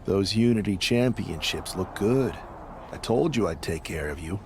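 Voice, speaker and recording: An actor playing a smug, commanding and scheming leader of a wrestling faction in a video game scene. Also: male voice, low-pitched, lively intonation, moderate pace, slight background noise. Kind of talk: video game cutscene dialogue.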